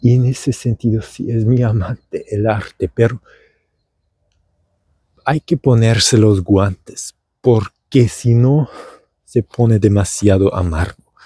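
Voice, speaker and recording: Man, Argentine accent learned abroad, meandering theatrical monologue, very dark tone, dry sound. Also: fairly low pitch, fairly even intonation, slow, slightly rough, no echo. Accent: Argentine Spanish learned by a German speaker. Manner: meandering, theatrical, with many fillers and restarts. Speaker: language teacher and podcaster. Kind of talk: rambling comedic monologue. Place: outdoors at dusk.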